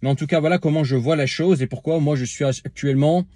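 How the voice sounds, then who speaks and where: A man's voice: a little high, fast, warm tone, no room sound, clean studio sound. A man talking casually to camera as a vlogger, answering a viewer's comment with many filler sounds and restarts, speaking to the viewer informally.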